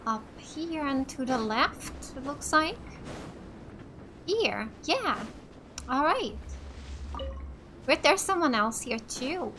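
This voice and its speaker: Slow-paced, high-voiced woman gamer. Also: lively intonation, sharp tone, a little hiss or hum. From Finland. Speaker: gamer, let's play host. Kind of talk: casual gameplay commentary. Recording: faint game music behind the voice.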